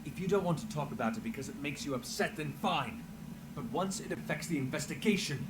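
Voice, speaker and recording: A man's voice: a little high, expressive, fairly quick, heavy background noise, natural voice. A man playing an earnest, guilt-laden investigator in a fantasy video game, with a theatrical delivery. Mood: upset, arguing. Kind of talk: dramatic video game acting.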